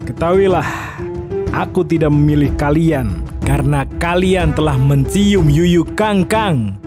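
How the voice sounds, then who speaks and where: A performer voicing a calm, decisive young prince in a children's animated folktale. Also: mid-range voice, unhurried, over music, lightly acted. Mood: stern.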